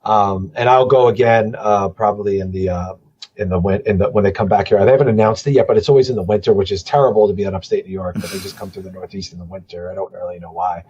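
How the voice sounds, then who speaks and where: A man in his forties, a podcast guest, chatting casually with a voice that is going hoarse from a cold, swearing freely and rambling with lots of restarts. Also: low-pitched, steady tone, fast talker, a little mellow, lo-fi sound. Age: 40s. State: losing his voice, starting a cold. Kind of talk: casual chat.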